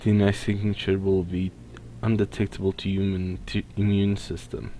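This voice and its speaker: A man's voice: deep voice, monotone, normal speed, warm tone, a little hiss or hum. A man giving casual let's play commentary, reading text aloud and stumbling over technical words. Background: faint video game music.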